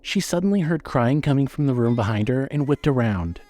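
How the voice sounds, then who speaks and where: Male horror audiobook narrator, dramatic and suspenseful, with a widely moving, expressive pitch. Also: brisk. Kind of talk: scripted horror fiction narration.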